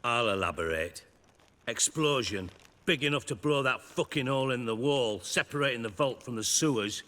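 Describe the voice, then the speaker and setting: An actor playing a cynical, foul-mouthed former count turned crime boss in a video game, with sardonic, clipped delivery over music. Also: male voice, medium pitch, expressive, normal speed, pushed and bright. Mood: exasperated. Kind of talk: video game character acting.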